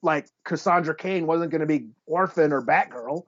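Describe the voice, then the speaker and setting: On a slightly muffled, lo-fi recording, a man with a fairly high voice chats as a podcast co-host. His delivery is informal and loose, full of everyday slang. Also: normal speed, slight background noise. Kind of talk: casual chat. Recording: dull lo-fi audio.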